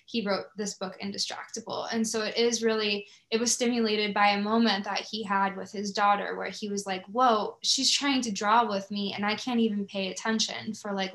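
A woman speaks as an interview host with a conversational flow and a middle-range pitch. She sounds engaged and enthusiastic, thinking through her points as she goes. The sound has a clear roomy echo around her voice. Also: a bit bright. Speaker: podcast host interviewing a guest.

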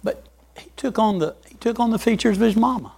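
Older man, preacher, folksy sermon, gravelly, high-pitched. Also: fairly quick, a little mellow. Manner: folksy, repeats words, chuckles.

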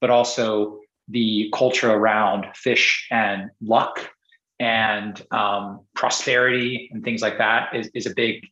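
A man's voice: lowish voice, a bit bright, roomy sound, lo-fi sound. A man who grew up in Singapore, speaking as a guest on a podcast interview, rambling with many restarts and fillers. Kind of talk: casual interview conversation.

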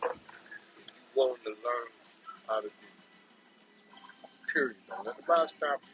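A man's voice: medium pitch, unhurried, muffled recording, a little hiss or hum. An American man in his seventies giving casual, preacherly advice in conversation, emphatic and repeating phrases for effect. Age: 70s. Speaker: podcast guest.

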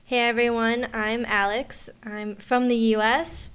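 Young woman, podcast co-host, smooth clear voice, fairly high, friendly and chatty. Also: level tone, measured pace, a bit bright. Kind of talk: casual podcast chat.

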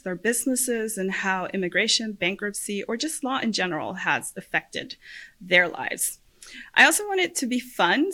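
Bright-voiced woman hosting a podcast. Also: medium pitch. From Puerto Rico. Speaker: podcast host, lawyer. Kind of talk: podcast monologue introducing herself.

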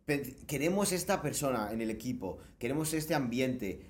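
A man's voice: mid-range voice, animated, normal speed. A man co-hosting a casual podcast chat, chipping in with short, agreeable remarks. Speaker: podcast co-host.

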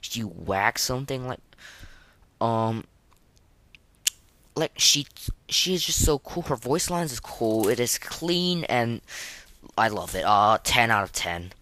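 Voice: medium pitch, big pitch swings, bright, forceful tone. A podcast host giving casual, unscripted game commentary in a hesitant, rambling way, with opinionated, slangy wording. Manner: hesitant, halting, rambling delivery.

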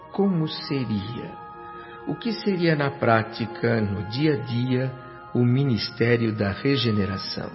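Man playing earnest male spirit narrator, Brazilian accent, mid-pitched, reflective, humble, radio drama, faint music bed. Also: natural voice. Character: humble, emotional male doctor spirit narrating his afterlife. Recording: dull audio with background music.

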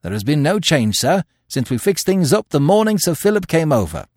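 A man voicing a dutiful police superintendent in an audiobook, reporting in a brisk, emphatic, businesslike way. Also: a little high, very animated, a little mellow, no echo, studio quality, voice pushed up.

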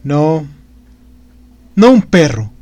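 Brief: a man playing a shaking old magician crying out in torment, high-pitched and loud, slow and drawn out. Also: very animated, heavily acted. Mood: anguished. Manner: anguished, pleading lament. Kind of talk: dramatic audiobook reading.

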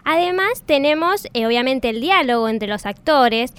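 A woman's voice: high-pitched, lively intonation, moderate pace, fairly bright tone, clean tone. A woman with an Argentine accent explaining things as a podcast co-host. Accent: Argentine Spanish.

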